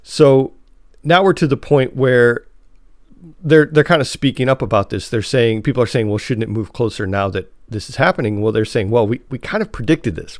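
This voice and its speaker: A man hosting a casual podcast chat, talking in a halting way with repeated words and restarts. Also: medium pitch, no room sound, clean studio sound. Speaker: podcast host and editor.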